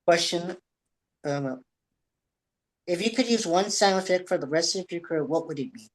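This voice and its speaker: A woman interviewer asking questions in a hesitant, halting way. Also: on the low side, animated.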